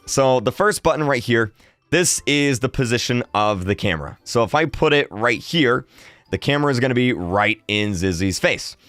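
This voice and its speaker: A man's voice: medium pitch, fairly bright tone, dry recording. A young adult man giving a casual, chatty tutorial commentary as a gaming video host, upbeat and close on the microphone. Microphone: close desk microphone on boom arm.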